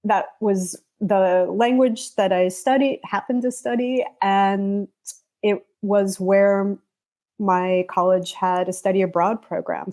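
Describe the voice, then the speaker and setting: A woman from the United States, a travel journalist, answering interview questions in a thoughtful, halting way. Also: mid-range voice, dark tone. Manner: thoughtful, with restarts. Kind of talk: conversational interview.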